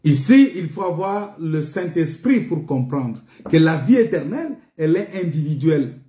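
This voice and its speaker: A man preaching a sermon in an emphatic, repetitive teaching manner, pausing on rhetorical questions. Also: a little high, very animated, mellow. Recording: echoey, reverberant room.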